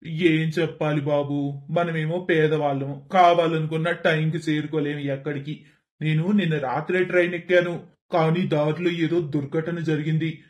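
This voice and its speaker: A man's voice: on the high side, flat delivery, normal speed, natural voice. Casting: male performer as humble elderly relative, consoling, plain-spoken, level delivery, for a storybook moral tale. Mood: apologetic. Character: poor, grateful, wise elderly uncle. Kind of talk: animated moral story voice acting.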